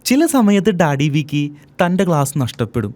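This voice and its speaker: A woman's voice: sits low, very animated, normal speed, darkish tone. A woman narrating a children's cartoon story in a storytelling manner suited to small children.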